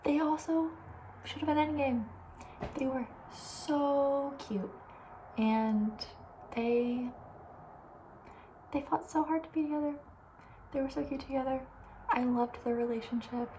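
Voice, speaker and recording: A woman speaking softly with breathy, near-whispered edges, chatting casually and rambling with playful opinions as an ASMR host. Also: sits high, measured pace, pauses often, a little hiss or hum, rough recording. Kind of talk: soft-spoken ASMR casual chat.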